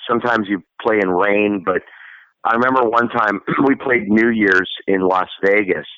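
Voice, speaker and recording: A man's voice: on the low side, normal speed, no room sound, highs cut off. A man from Florida, a singer, answering interview questions in a loose, chatty way and laughing often as he talks. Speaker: guest singer.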